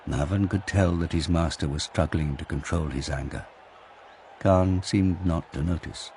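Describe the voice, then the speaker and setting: Low-voiced male drama narrator. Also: measured pace, a little mellow, a little hiss or hum. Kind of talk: dramatic scripted audiobook narration. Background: music.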